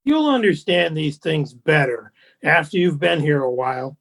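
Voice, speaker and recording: A man's voice: on the high side, very animated, moderate pace, gravelly, fairly bright tone, heavily acted. A man as a formal, genteel society go-between. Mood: genteel, condescending. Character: deferential, snobbish society go-between, smoothly polite. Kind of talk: radio play acting. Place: remote home recording over video call. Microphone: video call.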